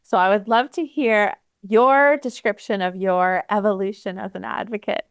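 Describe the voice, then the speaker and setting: A woman hosting an interview, encouraging her guest with frequent warm affirmations. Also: medium pitch, fairly even intonation, moderate pace, clear, resonant tone, dry recording, clean studio sound. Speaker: podcast host.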